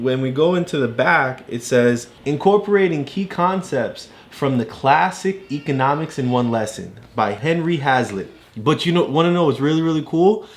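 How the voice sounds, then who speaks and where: A parent casually explaining a book to a child, encouraging and repeating points. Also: mid-range voice.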